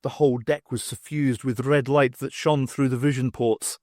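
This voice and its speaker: A man reading a novel aloud as an audiobook, with expressive, dramatic narration. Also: mid-range voice, normal speed, no echo, studio quality. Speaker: audiobook reader. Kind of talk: scripted fiction narration with acted dialogue.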